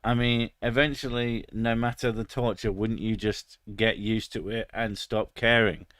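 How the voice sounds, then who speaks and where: A man's voice: lowish voice, steady tone, measured pace, fairly bright tone, no room sound. A British man in his thirties chatting casually on a stream, crude and sarcastic, swearing freely. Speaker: streamer reading chat. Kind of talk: casual live stream chat.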